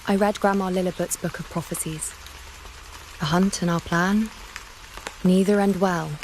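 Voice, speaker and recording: A woman playing a sharp-tongued, proud young priestess in a video game, wry and dramatic in her delivery. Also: fairly low pitch, steady tone, moderate pace, a little hiss or hum, close to an everyday voice, low-quality recording. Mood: uneasy.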